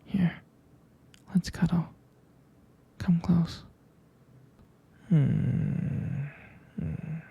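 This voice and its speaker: A man playing a jealous, possessive but tender boyfriend in an intimate, hesitant ASMR roleplay, speaking in a breathy, husky whisper close to the microphone. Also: a little high, lo-fi sound, exaggerated voice. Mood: soft, affectionate. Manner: hesitant, slow, halting with pauses and repetitions. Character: jealous, possessive, tender young boyfriend soothing his partner.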